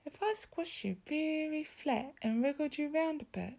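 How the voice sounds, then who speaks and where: A worried little boy from a storybook, acted out by a woman reader, pitched high and pleading. He is earnest and hopeful, with a slightly muffled sound to the recording. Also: lively intonation, measured pace, creaky, darkish tone, full character voice. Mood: hopeful, coaxing.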